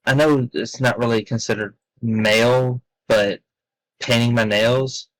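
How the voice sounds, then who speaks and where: A man's voice: mid-range voice, steady tone, measured pace, a bit bright, no room sound, low-quality recording. A man chatting in a laid-back, rambling way on a podcast, with many fillers. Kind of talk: casual podcast chat.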